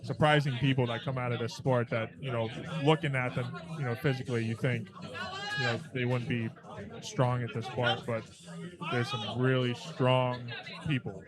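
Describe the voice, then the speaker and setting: Man, laid-back co-commentator, agreeable, filler-heavy, mid pitch, crowd noise. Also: flat delivery, normal speed. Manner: easygoing, agreeable, lots of "you know". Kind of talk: casual live commentary.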